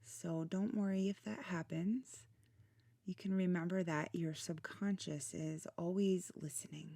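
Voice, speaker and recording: Woman, meditation guide, soothing and unhurried, soft breathy endings, warm dark tone, close dry recording. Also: lowish voice, fairly even intonation, dry recording. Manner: soothing, unhurried, gentle phrasing with pauses. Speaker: meditation guide, channel host.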